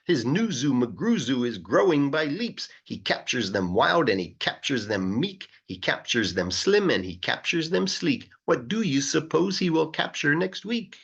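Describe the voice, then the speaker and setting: A man's voice: on the high side, sing-song, measured pace, vocal fry, hardly any pauses, a bit bright, slightly rough, lo-fi sound, voice pushed up, heavily acted. A man voicing an amazed, admiring zoo visitor, exclaiming and marvelling in rhyme in a children's storybook.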